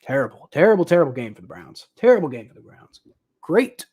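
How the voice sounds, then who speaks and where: A young man doing casual live football commentary, thinking out loud with lots of ums and uhs, his voice a little dry and raspy. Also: fairly high pitch, big pitch swings, fast. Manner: rambling, thinking aloud with many ums and uhs. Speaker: livestream host commentating football.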